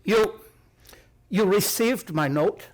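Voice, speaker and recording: Radio drama incognito European monarch: high male voice, formal, grandiose, secretive. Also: takes it slowly. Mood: guarded, formal. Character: proud, formal, secretive Bohemian king in disguise. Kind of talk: radio play acting.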